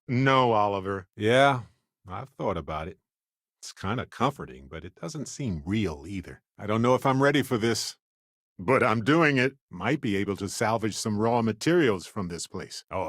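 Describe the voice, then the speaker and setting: An actor playing a wry, good-humored space explorer companion in a video game, talking in an expressive, conversational way. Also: male voice, on the low side, normal speed, dry recording, studio quality. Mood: thoughtful. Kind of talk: video game character voice lines.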